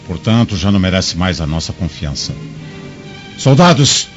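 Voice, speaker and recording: An actor playing a stern, imperious Roman patriarch in a radio drama, commanding and severe. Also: male voice, low-pitched, big pitch swings, normal speed, dull audio, soft background music. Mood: angry, commanding.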